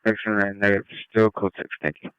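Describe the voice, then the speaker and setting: A man's voice: on the low side, level tone, quick pace, fairly bright tone, smooth, dry recording, lo-fi sound. A man giving procedural police dispatch over a scanner radio.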